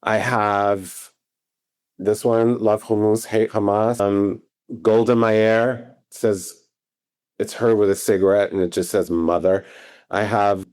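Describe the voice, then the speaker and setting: A man speaks as a podcast guest, lively and earnest, pressing his listeners to act. His voice sits fairly low. The sound is dry and close. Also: slow pace, no room sound. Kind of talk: podcast interview.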